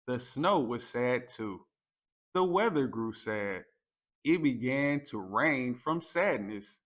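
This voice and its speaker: Slow-paced man reading a children's story. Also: medium pitch. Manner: deliberate, expressive storytelling for young children. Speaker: storytime reader. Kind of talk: children's picture book read-aloud, scripted narration.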